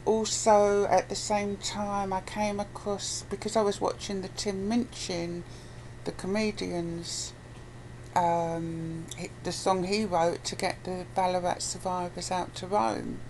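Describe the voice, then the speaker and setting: A woman's voice: mid-range voice, flat delivery, takes it slowly, a little mellow. A British woman chatting casually and bluntly as an interviewee, with some swearing. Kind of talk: casual conversation. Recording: noisy amateur recording with background noise.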